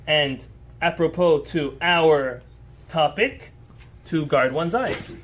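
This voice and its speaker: High-voiced man teaching a Torah lesson. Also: slow pace, a little hiss or hum. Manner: earnest, emphatic teaching with rhetorical questions; answers listeners' interjections on the fly. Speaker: rabbi. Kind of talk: Torah lesson to a small live group.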